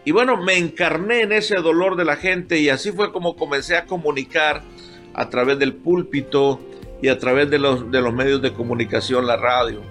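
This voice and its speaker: A man's voice: on the high side, fairly bright tone, soft background music. Older Honduran man giving heartfelt testimony. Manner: heartfelt, halting, moved to tears at times. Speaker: pastor guest. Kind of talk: emotional personal testimony.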